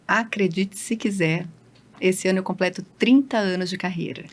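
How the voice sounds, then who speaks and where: A middle-aged woman with a southern Brazilian accent speaks as a guest in a casual interview. Her voice sits at an ordinary pitch for a woman. She is chatty and emphatic, telling things in an easy conversational style. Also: moderate pace. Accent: southern Brazilian Portuguese. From southern Brazil, grew up in Londrina, Paraná. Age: late 40s to early 50s.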